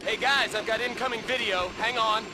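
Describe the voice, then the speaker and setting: A man playing a brisk, businesslike military support officer giving clipped radio briefings in a video game. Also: high voice, noisy background, natural voice.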